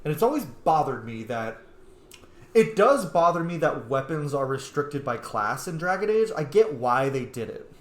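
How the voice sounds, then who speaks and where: A man's voice: on the high side, very animated, moderate pace. A man giving casual, enthusiastic, rambling commentary as he plays a game. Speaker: gamer commentating on his playthrough. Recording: echoey room.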